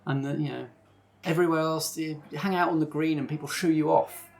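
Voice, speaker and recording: Someone voicing a creaky, sulky local teenager. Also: medium pitch, fairly even intonation, fairly quick, a little mellow, natural voice. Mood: glum, wistful. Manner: rambling, hesitant, full of "like" and "you know". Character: discontented local teenage girl, reluctant and sulky. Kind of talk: improvised tabletop roleplay.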